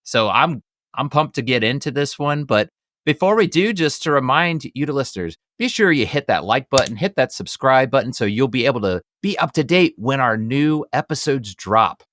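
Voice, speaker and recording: A man's voice: medium pitch, expressive, moderate pace, fairly bright tone, no echo. A middle-aged man with an American accent hosting a casual podcast, chatty, upbeat and enthusiastic. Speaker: podcast host.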